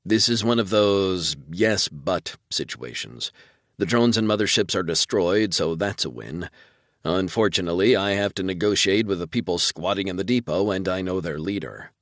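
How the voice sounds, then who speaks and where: A man's voice: deep voice, normal speed, no room sound, clean studio sound. A man voicing a wry, weary male space fleet officer in a sci-fi audiobook. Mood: grim, wry. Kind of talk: audiobook character dialogue.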